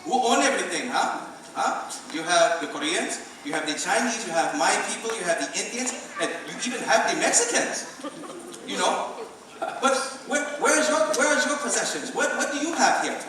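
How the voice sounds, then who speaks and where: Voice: mid-range voice, animated, moderate pace, far from the mic, close to an everyday voice. An actor on stage playing an exasperated immigrant store owner in their twenties, scolding in an emphatic, mocking, repetitive way. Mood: scornful. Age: 20s. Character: exasperated 27-year-old immigrant store owner, scornful and lecturing. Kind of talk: stage play acting. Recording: echoey stage recording from afar, noisy.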